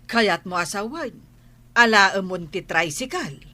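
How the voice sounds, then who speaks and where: A woman's voice: medium pitch, lively intonation, unhurried, sharp tone. A woman with an Ilocano accent acting a probing, no-nonsense village woman in a comedy radio drama.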